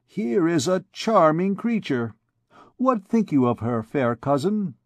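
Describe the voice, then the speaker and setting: A man's voice: a little high, big pitch swings, takes it slowly, no room sound, voice pushed up, full character voice. A man voicing a swaggering young army captain who talks in a boastful, blustering way. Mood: gallant.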